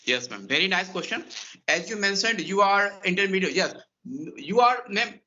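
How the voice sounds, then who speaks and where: An Indian man coaching English speaking in earnest, repetitive phrases. His voice is high and very bright. The recording sounds dull and lo-fi. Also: lively intonation, normal speed. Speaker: English tutor. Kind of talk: conversation practice advice.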